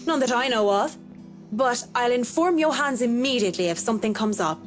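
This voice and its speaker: A woman's voice: on the high side, animated, a little fast, soft background music, close to an everyday voice. An actress playing a blunt, wry working woman in a video game, frank and casually sardonic. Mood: guarded, polite. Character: blunt, wry female worker unafraid of her boss. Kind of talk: visual novel voice acting.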